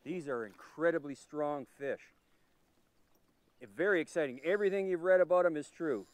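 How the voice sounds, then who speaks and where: A middle-aged man hosting a fishing show, commenting with excitement while he fights a fish from a boat on a lake. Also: fairly high pitch, normal speed, dry recording. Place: outdoors on a lake, in a pontoon boat.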